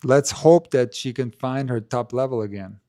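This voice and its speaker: Low-voiced man hosting a live Q&A. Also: normal speed, mellow. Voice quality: low, not strong voice. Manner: chatty, hedging, many fillers and restarts. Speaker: tennis channel host. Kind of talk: live stream Q&A, casual chat. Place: indoors, talking to camera. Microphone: handheld mic held close.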